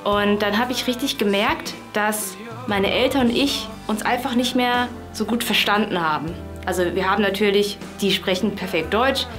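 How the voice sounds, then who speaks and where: Woman, Vietnamese native speaker, calm reflective interview story, mid pitch, clear background music. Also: moderate pace. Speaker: interviewed friend.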